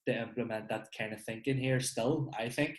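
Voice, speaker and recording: A man's voice: mid-range voice, steady tone, a little fast. Northern Irish man in an echoey room. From Northern Ireland. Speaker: podcast host. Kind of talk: casual podcast interview.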